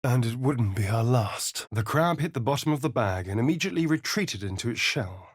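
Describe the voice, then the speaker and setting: An actor playing a sly, vain vampire spawn adventurer in a video game, with an arch, theatrical, sardonic drawl. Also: male voice, mid-range voice, expressive, a little fast, no echo, clean studio sound, natural voice. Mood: hushed storytelling. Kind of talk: video game companion voice lines and narration.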